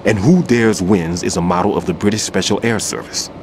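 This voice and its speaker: An actor playing a gruff, world-weary veteran demolition expert who speaks in a grave, measured, guarded way in a video game. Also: male voice, low voice, noisy background, lightly acted. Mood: calm, wary. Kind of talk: dramatic game voice acting.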